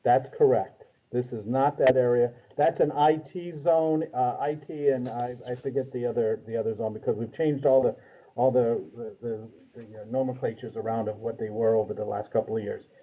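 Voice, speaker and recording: A man in his fifties speaking haltingly as a city official explaining things in a meeting, often trailing off. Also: medium pitch, steady tone, dark tone, slightly rough. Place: small office with hard ceiling, indoors. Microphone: video call. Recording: dull lo-fi video call audio.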